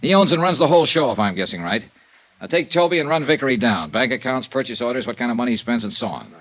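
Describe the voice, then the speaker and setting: An American actor playing a tough, shrewd insurance investigator in a 1950s radio drama, brisk and commanding. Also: male voice, medium pitch, expressive, a bit bright, natural voice. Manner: brisk, commanding, clipped delivery. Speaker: lead actor and narrator. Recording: vintage radio recording with faint noise.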